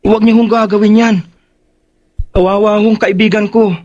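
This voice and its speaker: An actor playing a loyal young friend in an audio drama, deferential and imploring. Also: male voice, sits high, flat delivery, normal speed, darkish tone, natural voice. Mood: pleading. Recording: dull, muffled and noisy recording.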